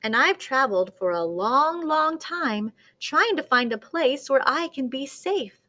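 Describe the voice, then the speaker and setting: Woman as an anxious, high-voiced storybook turkey. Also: lively intonation, unhurried, bright, forceful tone, heavily acted. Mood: earnest. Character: anxious, polite male turkey on the run.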